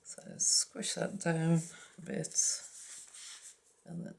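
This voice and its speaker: A woman's voice: low-pitched, completely flat delivery, very slow, vocal fry, mellow, whispered. A woman hosting a crafting tutorial, chatting casually and with self-deprecating asides while she works at a table. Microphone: overhead camera on the work, speaker off frame.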